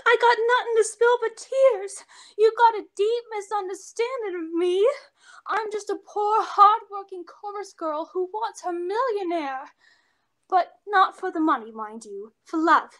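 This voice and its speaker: Brash young chorus girl, squeaky-high voice, lovesick wisecracker, old-time radio comedy. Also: a little slow, fairly bright tone. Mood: excitable, scheming. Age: young adult. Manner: slangy, melodramatic tough-girl talk. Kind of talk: 1940s radio comedy acting. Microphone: seated before camera on video call.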